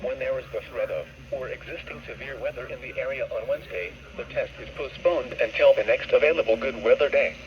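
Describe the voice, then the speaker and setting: A computer-made male voice reading an automated weather broadcast in a flat, measured, word-by-word way. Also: mid-range voice. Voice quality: even, synthetic text-to-speech delivery. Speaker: weather radio announcer. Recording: dull, hissy radio receiver recording.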